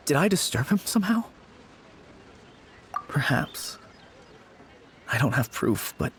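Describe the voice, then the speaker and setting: A man's voice: mid-range voice, a little hiss or hum. An actor playing an anxious young man in a game, haunted by the loss of his childhood friend, speaking in a subdued, hesitant way. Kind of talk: game voice acting.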